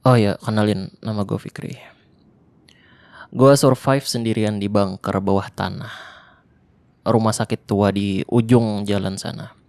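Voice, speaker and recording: A young man performing an ASMR roleplay monologue in casual slangy speech, tense and hushed at times, close to the mic. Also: lowish voice, steady tone, a little slow, pauses often, dry recording. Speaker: voice actor.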